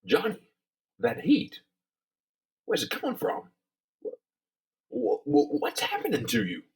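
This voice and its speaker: Man playing an excitable, high-pitched mechanic pal. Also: measured pace, vocal fry, exaggerated voice. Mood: astonished, alarmed. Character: excitable young mechanic pal, amazed and alarmed.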